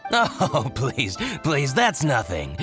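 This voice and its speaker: Smug gloating snitch, slow drawn out, super animated, game character. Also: male voice, medium pitch. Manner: gloating, theatrical. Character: smug, resentful, theatrical male informant. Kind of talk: video game character acting. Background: game music.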